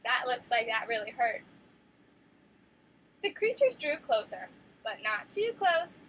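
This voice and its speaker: A young woman teacher reads a picture book to her class. She sounds bright and high, with lively swoops in her voice. She keeps an encouraging, animated tone as she questions the children. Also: unhurried, slight background noise, rough recording. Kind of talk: classroom read-aloud lesson.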